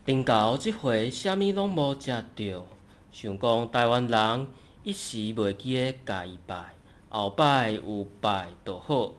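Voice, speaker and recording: A man's voice: mid-range voice, animated, takes it slowly. A man with a Taiwanese accent narrating a folk tale as a storyteller.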